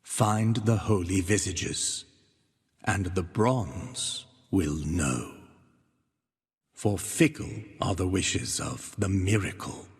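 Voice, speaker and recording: In a long, cavernous reverb, a very husky grave keeper of a holy door speaks with slow ceremony. He is a solemn fantasy game figure, measured and low. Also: exaggerated voice. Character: solemn, slow-speaking male guardian of a sacred bronze door. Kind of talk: scripted fantasy game dialogue.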